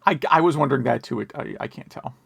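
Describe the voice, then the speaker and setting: A man's voice: mid-range voice, fast, gravelly, a bit bright, breathy edge, no room sound. An American man co-hosting a podcast in casual chat, wry and opinionated. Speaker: podcast co-host.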